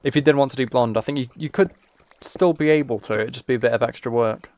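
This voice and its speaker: A man's voice: medium pitch, fast talker, a little mellow. A British man streaming a game and chatting casually with viewers, musing aloud in an informal, rambling way. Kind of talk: casual chat while playing a game.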